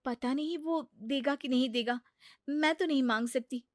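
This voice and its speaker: A woman's voice: high voice, normal speed, no room sound, studio quality, close to an everyday voice. A woman voicing an exasperated, practical wife in a cartoon story, scolding and pleading with her gambler husband. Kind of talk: cartoon story character acting.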